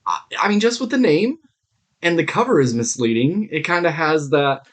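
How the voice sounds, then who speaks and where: A man's voice: a little high, lively intonation, moderate pace. A man co-hosting a podcast, chatting about shows in an informal, rambling, sweary way. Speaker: podcast co-host. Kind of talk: casual review chat.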